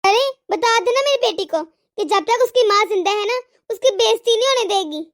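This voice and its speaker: A woman voicing a scolding, sarcastic, demanding mother-in-law in an animated family drama. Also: very high voice, moderate pace. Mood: indignant. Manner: nagging, sarcastic. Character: scolding, demanding mother-in-law, fiercely partial to her daughter.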